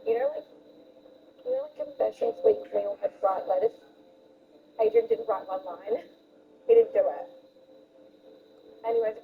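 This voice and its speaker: A woman speaking informally and haltingly in a personal, reflective talk. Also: mid-range voice, phone-quality sound. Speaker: mother. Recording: thin band-limited sound, faint noise.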